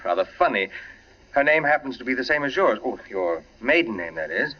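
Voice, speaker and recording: Animated, uneasy 1940s radio husband. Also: male voice, mid-range voice, fairly quick. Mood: uneasy probing. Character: uneasy young suburban husband, inquisitive, forcing casualness. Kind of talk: 1940s radio drama acting. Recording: dull vintage 1940s radio recording.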